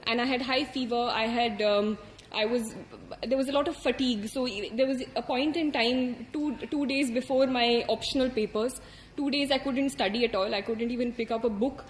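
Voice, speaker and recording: High-voiced earnest woman, Indian English. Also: fairly even intonation, normal speed, roomy sound, slight background noise, low-quality recording. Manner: earnest, thoughtful, many fillers and restarts. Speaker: exam topper sharing advice. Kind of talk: reflective advice talk.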